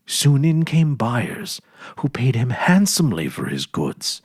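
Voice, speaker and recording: A man's voice: mid-range voice, a little mellow, slightly rough, no echo, clean studio sound. A man giving a dramatic, theatrical reading of a scripted story as storyteller and host.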